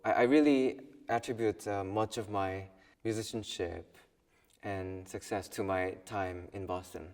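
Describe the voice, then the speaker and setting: A young man in his twenties from South Korea, a violin student, speaking thoughtfully with pauses in an interview. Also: fairly low pitch, fairly even intonation, in a large hall, far from the mic. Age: 20s. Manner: thoughtful, soft-spoken with pauses and small laughs. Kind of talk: personal documentary interview.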